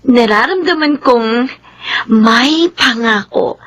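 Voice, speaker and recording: An actress playing a grateful, idealistic young daughter in a Filipino radio drama, earnest and emotional. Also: female voice, on the high side, slow pace, background noise. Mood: happy, hopeful.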